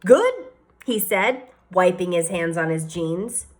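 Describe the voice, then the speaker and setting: A woman teacher reading a children's novel aloud with expressive storytelling and varied emphasis. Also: on the low side, measured pace.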